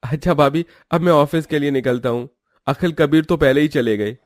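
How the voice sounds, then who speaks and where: High-voiced, polite, flustered young man by an actor. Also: moderate pace, dry recording, clean studio sound, natural voice. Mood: grateful. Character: flustered, polite young brother-in-law, an office worker. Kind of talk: Hindi cartoon story acting.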